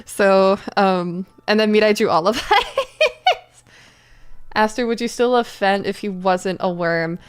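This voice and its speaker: A woman's voice: medium pitch, very animated, slow, smooth. A woman hosting a casual livestream, chatting to viewers in a playful, giggly, rambling way. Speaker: livestream host and voice actor.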